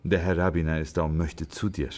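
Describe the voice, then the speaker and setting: Deep-voiced genial older receptionist. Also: male voice, flat delivery, a little fast, darkish tone. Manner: genial, formally polite. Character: courteous, chatty older Turkish father at reception desk. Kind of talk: radio play dialogue. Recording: clear background noise.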